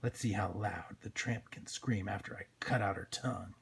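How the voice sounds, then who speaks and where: A man voicing a sadistic, cocky street thug from a comic book in a breathy, rasping voice. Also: lowish voice, normal speed, voice pushed down, heavily acted.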